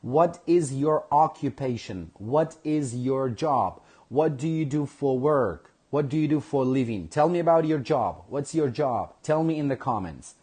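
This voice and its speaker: Husky man teaching English slowly. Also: mid-range voice, lively intonation, darkish tone. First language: Kurdish. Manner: slow, repeats phrases for learners. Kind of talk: live online English lesson.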